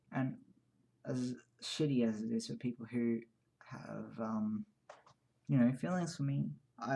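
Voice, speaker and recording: A young man in his twenties talks straight to camera in a loose, rambling vlog, with a creaky, gravelly edge on his words. His tone is husky and slightly breathy, and he chuckles at his own remarks as he drifts from topic to topic. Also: mid-range voice, a little mellow. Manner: rambling, candid, laughs at self. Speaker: vlogger. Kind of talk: casual personal chat to camera. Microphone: new microphone, quiet level.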